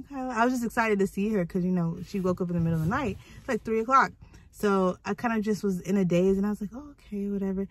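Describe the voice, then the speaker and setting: Young mom vlogging from her car on her phone, hoarse from a cold, chatty. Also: medium pitch. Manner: chatty, animated storytelling. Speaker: vlogger mother. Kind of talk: casual vlog chat. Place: parked car, indoors. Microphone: phone held at arm's length.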